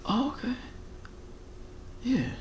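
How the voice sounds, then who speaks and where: An American man in his thirties doing a hushed, casual ASMR roleplay as a friendly host, talking hesitantly up close. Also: takes it slowly, a little hiss or hum. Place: small furnished bedroom.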